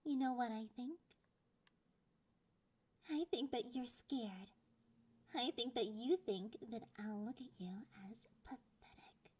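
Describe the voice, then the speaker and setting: Woman voicing a high-pitched, teasing catgirl girlfriend. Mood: teasing, taunting. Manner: breathy whispers, drawn-out taunting delivery. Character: clingy, possessive, teasing young catgirl girlfriend, slightly sadistic. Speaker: ASMR roleplay voice actor. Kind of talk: ASMR roleplay, intimate and half-whispered.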